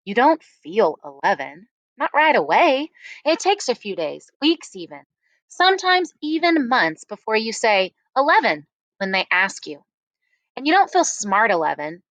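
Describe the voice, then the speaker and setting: A woman reading a first-person story aloud expressively, with dramatic, deliberate phrasing. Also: on the high side, fairly bright tone, no echo. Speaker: read-aloud narrator.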